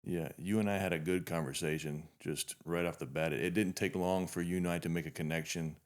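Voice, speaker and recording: Deep-voiced man hosting a podcast. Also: a little fast. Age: 50s. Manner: relaxed, rambling with frequent restarts. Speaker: podcast host. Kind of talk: casual interview chat.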